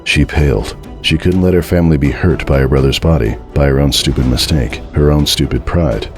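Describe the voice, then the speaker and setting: A man's voice: a bass voice, fairly quick, faint music behind. A man narrating a horror story as a dramatic reading.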